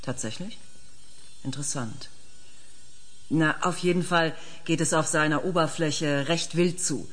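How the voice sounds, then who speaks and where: An actress playing an earnest planetary scientist in a radio play, precise and measured, with a slightly husky voice. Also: female voice, sits low. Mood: factual. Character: earnest female planetary scientist quietly enchanted by her planet. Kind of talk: German science fiction radio play acting.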